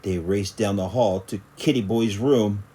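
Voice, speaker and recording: A man narrating a children's story aloud in a dramatic, expressive storytelling style. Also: fairly low pitch, a little slow, breathy edge. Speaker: storybook reader.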